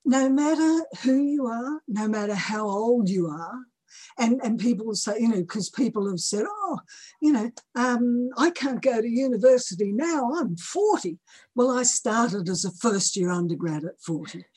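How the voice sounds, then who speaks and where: A woman in her early seventies with a husky voice, speaking as an interview guest in an emphatic, motivational way and repeating key phrases. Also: fairly high pitch, lively intonation, normal speed, a little mellow, dry recording.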